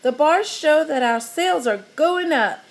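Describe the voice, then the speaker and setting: A woman's voice: sits high, lively intonation, a little slow, pitched above the performer's own voice. A woman voicing an organized girl who keeps the sales chart, announcing results eagerly.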